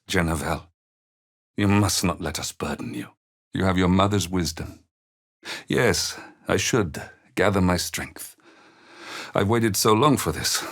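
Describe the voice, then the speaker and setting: An older man voicing a weary, gentle, devout old father in a video game, with halting, tender and solemn delivery. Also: low-pitched, husky, exaggerated voice. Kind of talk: dramatic video game character dialogue.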